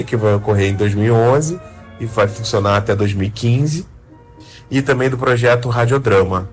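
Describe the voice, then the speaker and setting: Low-pitched Brazilian man explaining, music underneath. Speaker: interviewed radio drama creator. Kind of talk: explanatory interview talk.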